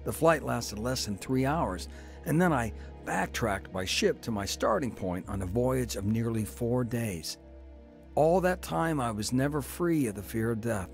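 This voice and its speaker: A man's voice: mid-range voice, expressive, measured pace, soft background music. A middle-aged man telling his own story in earnest first-person narration for a radio drama. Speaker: narrator and lead.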